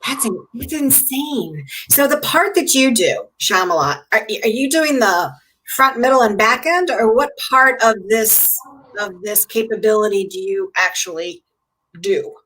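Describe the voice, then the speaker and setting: A curious woman hosting an interview asks plain questions and reacts with short exclamations of surprise. Her voice sits a little higher than most women's. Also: lively intonation, a little slow, fairly bright tone, low-quality recording.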